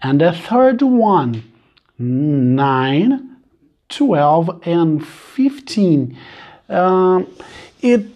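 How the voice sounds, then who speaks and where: Slow, mellow Portuguese-accented male teacher. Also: sits high. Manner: halting, searches for words, counts aloud slowly. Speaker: math and physics teacher. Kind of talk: screen-recorded math lesson.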